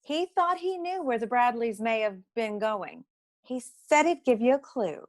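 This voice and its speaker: An actress playing a brisk, know-it-all detective agency secretary in a noir comedy audio play, curt and dryly superior. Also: female voice, fairly high pitch, animated, natural voice. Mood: matter-of-fact, superior.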